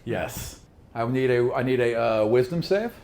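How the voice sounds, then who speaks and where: A man narrating a tabletop role-playing game as game master, improvising in a casual, animated way. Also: medium pitch, normal speed. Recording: faint background noise.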